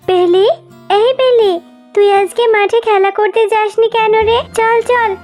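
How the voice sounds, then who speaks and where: A woman's voice: extremely high pitch, fairly even intonation, measured pace, darkish tone, faint music behind. A woman voicing an eager little girl fox cub in a cartoon, with a childlike, wheedling way of talking. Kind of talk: cartoon voice acting.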